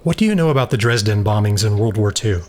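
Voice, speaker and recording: A man's voice: lowish voice, expressive, quick pace, a little mellow, slight background noise, close to an everyday voice. A man playing a resolute, hard-line former soldier in an audio drama, speaking firmly and seriously. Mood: grim, determined. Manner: firm, serious, argumentative.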